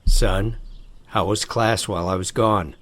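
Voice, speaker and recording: Man playing a slow, stern, deep general. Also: steady tone, fairly bright tone, a little hiss or hum. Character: stern, emotionally distant military general and father. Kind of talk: audio drama acting.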